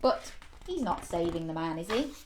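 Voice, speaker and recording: A woman's voice: lowish voice, very animated, normal speed, noisy background. A woman hosting a children's story time, reading a picture book expressively with exclamations and playful questions to young listeners. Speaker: children's storyteller and show host.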